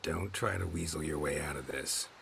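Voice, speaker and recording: Super deep gruff witcher, short dry orders, flat deadpan, faint ambience. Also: moderate pace, a little hiss or hum, full character voice. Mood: teasing. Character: gruff male witcher, dry and teasing mentor. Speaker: witcher, mentor to a young girl. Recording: game audio with faint ambience.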